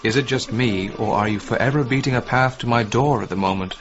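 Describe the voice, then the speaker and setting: An actor playing a flirtatious, attentive hairdresser with a coaxing, chummy manner in a radio comedy-drama. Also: male voice, mid-range voice, fairly quick, muffled recording, close to an everyday voice. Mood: chummy, probing. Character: smooth, flirtatious male hairdresser, gossipy and attentive.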